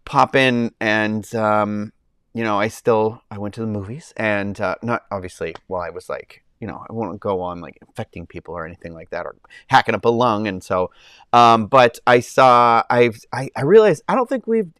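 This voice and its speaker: A man's voice: lowish voice, lively intonation, normal speed, fairly bright tone, clean tone, no room sound, studio quality. A man hosting a casual live stream, nasal and congested from a summer cold, rambling with lots of ums and restarts. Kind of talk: casual chat with live chat viewers. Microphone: clip-on mic under his shirt.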